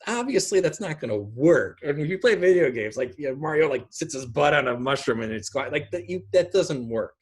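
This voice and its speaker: A man's voice: a little high, animated, fairly quick, few pauses, husky. A middle-aged man on a video call, explaining his ideas in a casual, rambling way. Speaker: guest speaker. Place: indoor room at home, on a video call. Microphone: video call microphone.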